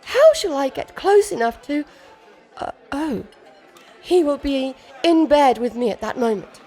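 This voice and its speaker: A naive young bride of noble birth in a period radio comedy. Her voice is high and swings expressively, hesitant and properly formal, with light music behind it. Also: sing-song, a little slow, dark tone. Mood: anxious, baffled.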